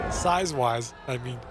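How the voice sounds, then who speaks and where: A man giving casual, musing playthrough commentary, reacting aloud as a gaming YouTuber. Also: medium pitch. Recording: noisy game audio behind the voice.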